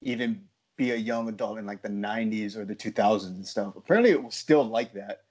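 Laughing American man with a husky voice. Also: fairly low pitch, brisk, darkish tone. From United States. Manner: laughs often, loose and joking. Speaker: podcast co-host. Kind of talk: casual chat.

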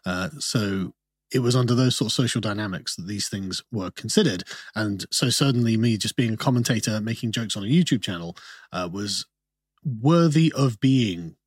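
A man from the UK, a commentator giving measured explanations as a guest in a studio interview. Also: fairly low pitch, husky, no room sound. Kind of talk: podcast interview.

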